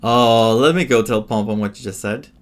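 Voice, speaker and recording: A woman's voice: rumbling low, moderate pace. A woman giving casual commentary as a game streamer playing through a story. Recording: faint game music behind.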